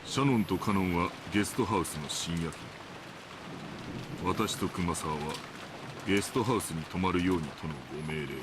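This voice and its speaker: An actor playing a stern, older head butler in a visual novel, speaking formally and with terse authority. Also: male voice, low-pitched, racing, lightly acted. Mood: grave. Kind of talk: anime visual novel voice acting. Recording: clear rain noise over the voice.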